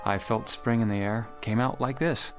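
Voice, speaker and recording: An actor playing a skeptical, courteous and somewhat weary gentleman in a naturalistic radio play. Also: medium pitch, fairly even intonation, moderate pace, soft background music, close to an everyday voice.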